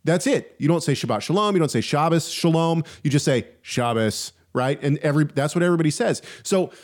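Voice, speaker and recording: A man's voice: on the high side, animated, fairly quick. A man hosting a podcast in a casual, chatty way, reading out listener questions and jumping into the talk. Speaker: podcast host. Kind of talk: casual chat.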